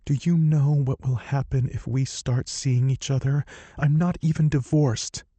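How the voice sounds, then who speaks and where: A man's voice: mid-range voice, fairly even intonation, dark tone, no echo, voice pushed up, natural voice. A man voicing a guarded, fiery young woman in an audiobook, gasping and exasperated. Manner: gasping, exasperated, quick to flare. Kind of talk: audiobook character voice.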